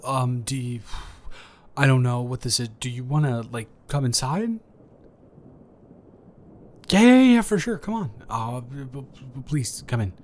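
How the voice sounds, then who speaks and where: A man playing an awkward, friendly neighbor in an improvised roleplay audio, stammering and rambling hesitantly. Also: medium pitch. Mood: flustered surprise.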